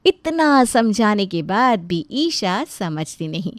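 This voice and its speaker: A woman reading scripted storybook narration in an expressive, lightly wry way. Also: mid-range voice, slow, dry recording, studio quality.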